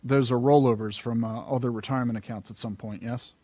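A man's voice: mid-range voice, steady tone, a little fast, mellow, no room sound. A man hosting a radio finance show, delivering points emphatically and taking callers.

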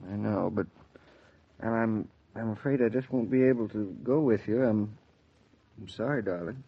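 An actor playing a patient, affable young husband with an American accent in a 1940s radio drama. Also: male voice, on the low side, normal speed, slightly rough. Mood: apologetic. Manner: explanatory, hesitant, conversational. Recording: dull, lo-fi 1940s radio recording.

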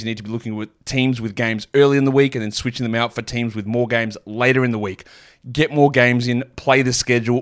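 A man delivering a scripted sports advice segment as a fantasy basketball analyst. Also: mid-range voice.

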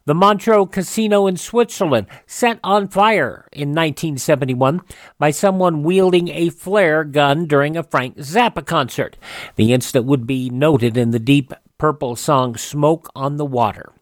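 A man with an American accent hosting a radio show, presenting history items in a measured, semi-scripted way. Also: on the high side, no room sound, clean studio sound.

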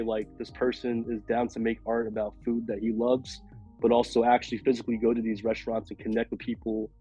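A man with a raspy, hoarse voice from a cold, rambling casually as a podcast guest with many fillers. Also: medium pitch, a little mellow, muffled recording. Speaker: podcast guest, food illustrator.

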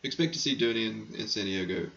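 Hoarse, gravelly man losing his voice. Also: lowish voice, fast, fairly bright tone, lo-fi sound. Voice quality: gravelly, croaky. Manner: rambling, chatty, frank, sometimes crude. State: losing his voice, clearing throat. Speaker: hockey podcast host. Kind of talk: casual solo podcast, answering listener questions.

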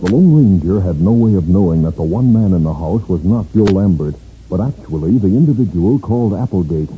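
Low-voiced American radio narrator. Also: male voice, lively intonation, brisk, a little hiss or hum. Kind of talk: scripted 1950s radio drama narration. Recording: dull old radio recording. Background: dramatic music.

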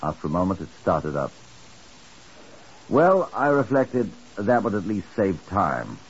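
An actor playing a dry, methodical British chief inspector in a 1950s radio drama, measured, deliberate and faintly sardonic. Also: male voice, deep voice, sing-song, heavily acted. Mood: musing, deliberate. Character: dry, methodical police chief inspector narrating his case. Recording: muffled, hissy 1950s radio recording.

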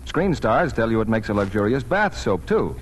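A man's voice: lowish voice, very animated, moderate pace, a little hiss or hum. A man reading soap commercials as a polished 1940s radio announcer. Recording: old 1940s radio broadcast recording.

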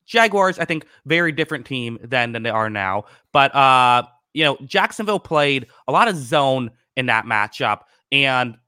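A man with a bright, crisp-edged voice analyses football as a podcast co-host. His delivery is conversational and a little halting as he circles toward each point. The sound is dry, with no room echo. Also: mid-range voice.

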